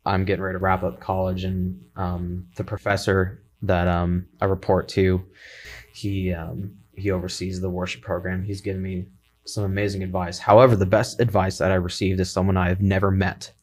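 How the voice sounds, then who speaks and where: Young man, twenties, worship leader, casual podcast chat, low voice, easygoing and rambling. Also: moderate pace, slight background noise. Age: 20s. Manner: relaxed, rambling, many fillers. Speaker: worship leader, college student.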